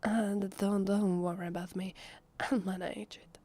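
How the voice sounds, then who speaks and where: A woman with an Italian accent playing a shy, lonely vampire posing as a human in an ASMR roleplay, speaking softly and hesitantly in a breathy half-whisper. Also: mid-range voice, little pitch movement, measured pace, natural voice. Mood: nervous, coaxing. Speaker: voice actress. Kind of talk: ASMR roleplay, soft intimate speech to the listener.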